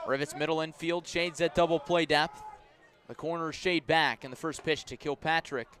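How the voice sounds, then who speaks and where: A man with a fairly high voice gives live baseball play-by-play for radio. He describes the action in clipped, measured phrases and lifts his delivery when a play matters. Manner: measured description rising to excited calls on key plays. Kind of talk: live radio sports commentary.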